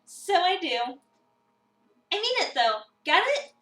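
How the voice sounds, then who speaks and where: A woman's voice: very high voice, very animated, slow pace, pushed and bright, pitched above the performer's own voice, heavily acted. A woman voicing a bubbly, confident young female cafe coworker in a visual novel, perky and encouraging. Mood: warm, reassuring. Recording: roomy, distant mic, music behind.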